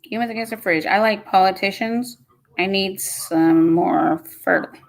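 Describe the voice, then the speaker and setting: A woman's voice: medium pitch, moderate pace, gravelly. A woman, hosting a casual live stream and chatting folksily as she reads comments aloud. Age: 50s. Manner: chatty and folksy, says y'all.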